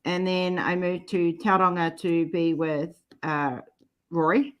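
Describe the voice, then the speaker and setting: A woman's voice: fairly low pitch, level tone, takes it slowly, highs cut off, crowd noise. A middle-aged woman with a New Zealand accent, chatting casually and telling personal stories as a guest in a group conversation. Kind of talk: casual chat, personal storytelling.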